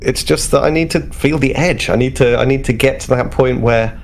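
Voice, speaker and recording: A man's voice: mid-range voice, fairly quick, gravelly, slight background noise, lightly acted. A man playing a cheeky, thrill-seeking starship officer in a tabletop game. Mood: cheeky, sheepish.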